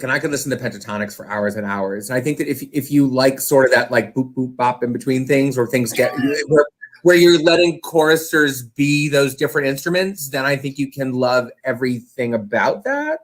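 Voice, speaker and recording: A man's voice: medium pitch, lively intonation, a little fast. A man chatting casually in a panel about choral music, enthusiastic and playful.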